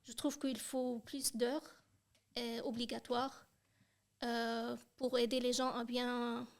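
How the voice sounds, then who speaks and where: A woman's voice: a little high, flat delivery, slow pace. A young woman from Syria, a podcast guest talking hesitantly, with many fillers and restarts. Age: young adult. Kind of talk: casual chat.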